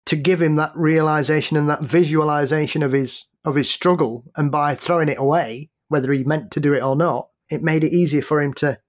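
A man's voice: a little high, fairly even intonation, fairly quick, few pauses. A British man, a podcast guest, speaking in a hesitant, reflective way. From United Kingdom. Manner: hesitant, reflective, many restarts. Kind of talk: casual podcast chat.

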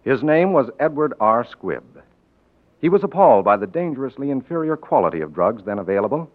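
A man announcing in a formal, earnest, measured 1940s radio style, reading a scripted message. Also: mid-range voice, big pitch swings. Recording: tinny 1940s radio broadcast recording.

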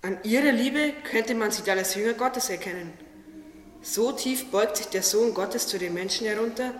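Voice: medium pitch, normal speed, slight background noise, natural voice. An actor playing a naive, curious talking tree in a religious radio play, earnest and questioning. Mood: puzzled, astonished. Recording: echoey, distant, long reverb, music behind.

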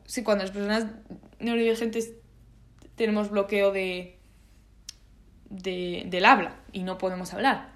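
This is a nineteen-year-old woman with a Spanish accent from Spain, chatting on her own in a casual vlog. She rambles, restarts her sentences often and drops plenty of slang. Her voice sits at an ordinary middle pitch. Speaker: vlogger.

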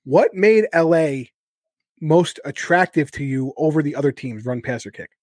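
Podcast host, thirties, slightly high voice, dry close sound, eager and laughing easily. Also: male voice, lively intonation, moderate pace, a little mellow, no echo. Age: 30s. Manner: laughing, enthusiastic interviewer. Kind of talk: casual interview chat.

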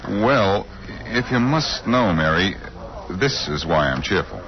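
An American actor playing a wry, easygoing, self-assured amateur detective in a 1940s radio drama, bantering and unruffled. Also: male voice, on the low side, expressive, slow. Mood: playful, teasing. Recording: muffled, noisy old radio recording.

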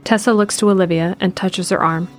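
Lowish-voiced woman narrating over music. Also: steady tone, brisk. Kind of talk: scripted audio drama narration. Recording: music behind the voice.

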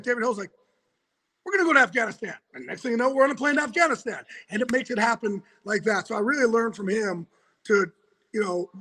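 Raspy, high-voiced man on a call. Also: pushed and bright, poor audio. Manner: loose and quick, with lots of fillers and "like". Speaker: interview guest, football insider. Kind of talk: casual interview chat. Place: at home.

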